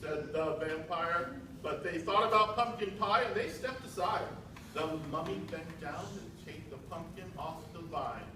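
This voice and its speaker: A man's voice: on the high side, a little slow. An American man narrating a storybook to children with theatrical, emphatic delivery. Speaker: narrator and presenter, school staff. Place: large school multipurpose room, indoors. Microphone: distant camera. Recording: echoey school hall from far off, noisy.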